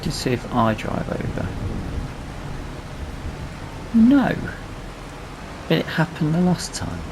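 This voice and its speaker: A man's voice: fairly high pitch, big pitch swings, slow pace, background noise. A man giving casual let's play commentary on a video game, muttering to himself as he plays. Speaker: gaming video host.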